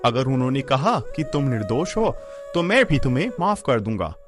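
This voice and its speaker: A man's voice: medium pitch, sing-song, normal speed, over music, close to an everyday voice. A man playing a proud, stern, middle-aged wealthy merchant in a cartoon story, dramatic and authoritative. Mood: stern, threatening. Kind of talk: Hindi cartoon story voice acting.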